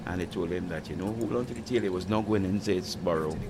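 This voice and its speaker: Low-voiced man telling a story. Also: quick pace. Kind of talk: casual chat. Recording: noisy background.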